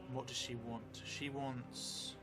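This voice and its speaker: Slow, even-toned man musing over music. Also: mid-range voice. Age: middle-aged. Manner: halting, musing. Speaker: solo role-playing game streamer. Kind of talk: thinking aloud while improvising a story. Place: small indoor room at a table. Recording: music behind the voice.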